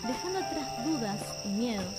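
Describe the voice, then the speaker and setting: A woman's voice: fairly high pitch, lively intonation. Suspenseful Argentine woman narrator. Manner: measured, suspenseful storytelling. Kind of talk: scripted radio drama narration. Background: music.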